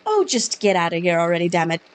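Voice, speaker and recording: A woman's voice: fairly low pitch, sing-song, fast, clear, resonant tone, pitched below the performer's own voice. A woman putting on a scornful Scottish police officer. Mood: dismissive. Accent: Scottish, put on. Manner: curt, scornful, brushes off the witness. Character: skeptical dismissive male Scottish police officer. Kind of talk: reading game dialogue aloud.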